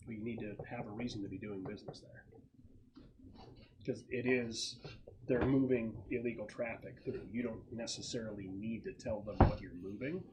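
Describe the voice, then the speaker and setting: A man's voice: fairly low pitch, moderate pace, background noise. A man acting as game master, explaining a scenario to players in a conversational way.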